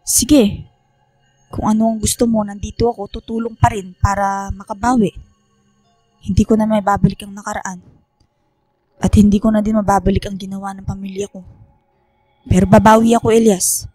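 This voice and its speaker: An actress playing a remorseful, secretive professor with solemn, deliberate delivery in a radio horror drama. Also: female voice, medium pitch, little pitch movement, dark tone, no echo, soft background music, lightly acted. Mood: resigned, apologetic. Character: remorseful secretive female professor confessing family guilt.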